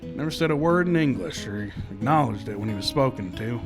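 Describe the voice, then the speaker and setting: A man's voice: medium pitch, lively intonation, brisk, music in the background, natural voice. A man playing a plainspoken, kindly, sombre Old West marshal in a live radio western. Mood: reflective narration.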